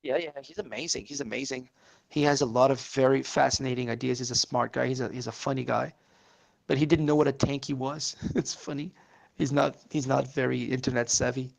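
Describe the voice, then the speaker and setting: Gravelly-voiced man, rambling casually. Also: mid-range voice, steady tone, fairly quick. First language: Portuguese. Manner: rambling, with many fillers and curses. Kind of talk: casual political chat.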